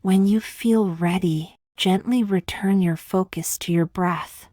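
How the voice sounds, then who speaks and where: A woman narrates a guided meditation in a calm, soothing manner. Her pitch sits a little low and she moves very slowly, leaving long pauses. The sound is dry, with no room echo. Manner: calm, unhurried, gently measured with long pauses.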